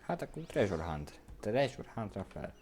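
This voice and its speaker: A man giving casual live commentary as a game streamer, muttering short, halting remarks. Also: fairly low pitch, big pitch swings. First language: Hungarian.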